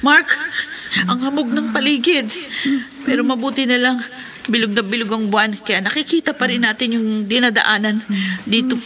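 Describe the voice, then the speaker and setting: Anxious young wife, high bright voice. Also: female voice, moderate pace. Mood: nervous. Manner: fretful, emotional. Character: anxious, determined wife out to rescue her husband. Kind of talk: horror radio drama acting.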